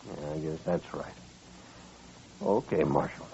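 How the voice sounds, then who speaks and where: An American man playing a bitter, vengeful rancher in an old-time radio western, with a husky voice and blunt, folksy speech. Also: a bass voice, a little slow, mellow, a little hiss or hum, exaggerated voice. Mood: blunt, insistent. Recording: muffled old radio recording.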